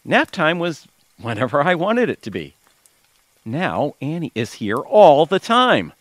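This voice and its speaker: A man's voice: fairly high pitch, big pitch swings, a little slow, no echo. A man playing a grumbling, self-pitying retired husband in an audio theater comedy, theatrical and mock-tragic. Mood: aggrieved.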